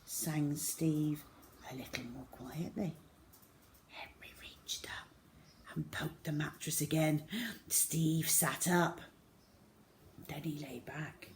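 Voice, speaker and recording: A woman reading a story to children as host, dramatic, with a way of dropping into suspenseful hush. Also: deep voice, steady tone, slow, long pauses, a little hiss or hum. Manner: dramatic, with hushed suspenseful drops.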